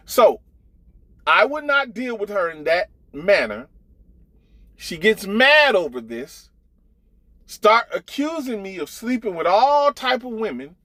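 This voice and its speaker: A man's voice: sits high, bright, forceful tone, dry recording. A man telling a casual gossip story as an online commentator, blunt, profane and exasperated, driving his points home with emphatic repetition.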